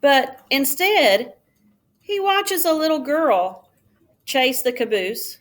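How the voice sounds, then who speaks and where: Slow-paced woman reading a children's storybook. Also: high voice, expressive. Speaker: elementary school teacher reading aloud. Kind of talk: children's storybook read-aloud.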